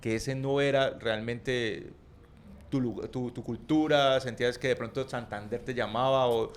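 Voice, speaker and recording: A man's voice: mid-range voice, steady tone, unhurried, slight background noise. A man hosting a podcast interview, asking friendly, curious follow-up questions. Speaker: host. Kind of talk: interview questions in casual chat.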